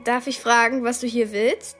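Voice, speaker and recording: A woman's voice: on the high side, fairly even intonation, normal speed, a bit bright, faint music behind, lightly acted. An actress playing a plucky, sarcastic twelve-year-old girl in a radio drama, both in dialogue and narrating. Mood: sarcastic, repelled. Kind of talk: radio drama acting and first-person narration.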